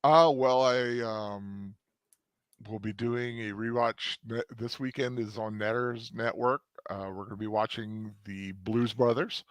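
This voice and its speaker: A man co-hosts a casual live stream with a fairly low voice. He speaks hesitantly and fills the gaps with lots of uhs and ums. The recording is dry and close. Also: steady tone, a little slow, no room sound. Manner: hesitant, filler-heavy. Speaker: co-host.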